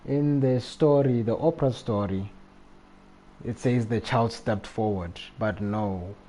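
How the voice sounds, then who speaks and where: A man live streaming a video game, reading text aloud and commenting casually in a halting way. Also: lowish voice, dark tone, low-quality recording. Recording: faint background noise, game ambience.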